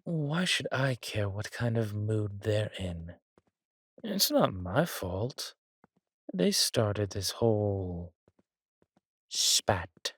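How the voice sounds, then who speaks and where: A husky, breathy villain king, acted by a man, drawling slowly in a dry, close recording. He is arrogant and sardonic, a theatrical sulker with a cruel streak, in the manner of a roleplay audio drama. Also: fairly low pitch, sing-song, a bit bright, dry recording, heavily acted. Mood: defensive, sardonic. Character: arrogant, petulant villain king, cruel yet doting on his consort.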